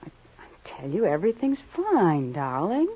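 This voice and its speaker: Nervous, animated, evasive wife. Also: female voice, lowish voice, husky, exaggerated voice. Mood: anxious. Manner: halting, anxious, evasive. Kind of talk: old-time radio crime drama acting. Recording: muffled old-time radio recording.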